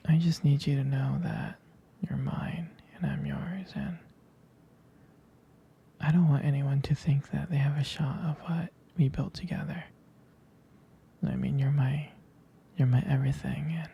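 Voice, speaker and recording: A man playing a jealous, protective, devoted boyfriend in an intimate ASMR roleplay, speaking in a breathy, halting, tender whisper. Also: mid-range voice, warm tone, heavily acted. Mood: possessive, tender. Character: jealous, protective, devoted young boyfriend soothing his partner.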